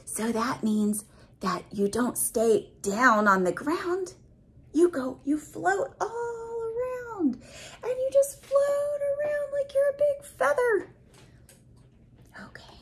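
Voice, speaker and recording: A woman reading a picture book aloud to children, expressive and exclamatory, pausing to explain things simply and put questions to young listeners. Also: very high-pitched. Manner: expressive, exclamatory, with audible gasps of wonder.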